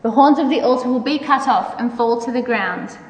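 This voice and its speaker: A woman with a slightly high voice delivers a solemn, declamatory reading of scripture. She is heard from some way off in an echoing hall, with a long tail of reverb. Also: a little fast, recorded from a distance, dull audio. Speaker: church member reading scripture aloud. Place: large reverberant room.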